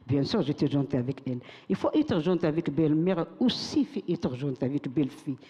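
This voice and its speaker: A woman's voice: deep voice, animated, fast talker, mellow, muffled recording. Older woman chanting in a hall. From Morocco. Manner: rhythmic, emphatic, repeats phrases like a chant. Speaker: poet reciting her own text. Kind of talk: spoken-word recitation to an audience.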